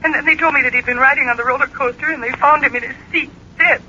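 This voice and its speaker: Distraught young daughter, urgent and earnest, 1940s radio mystery, dull hissy sound. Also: female voice, high voice, steady tone, fast, pushed and bright. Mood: distraught, pleading. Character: distraught grown daughter of a murdered amusement park owner. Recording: muffled, hissy 1940s radio broadcast recording.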